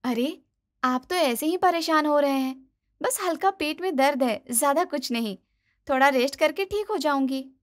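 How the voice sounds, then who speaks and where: A woman's voice: high voice, normal speed, voice pushed up, natural voice. A woman voicing a stubborn young daughter-in-law with whiny, dismissive protests in a cartoon moral story. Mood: breezy, defensive. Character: stubborn, breezy young daughter-in-law who loves corn.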